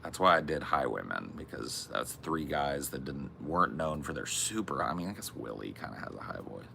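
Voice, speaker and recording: A man with a very low, gravelly voice that carries a sharp, forceful edge. He talks in a loose, rambling podcast style, swearing freely as he goes. A faint hiss sits under him. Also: big pitch swings, fairly quick. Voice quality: deep voice. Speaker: podcast co-host. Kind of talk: casual podcast chat.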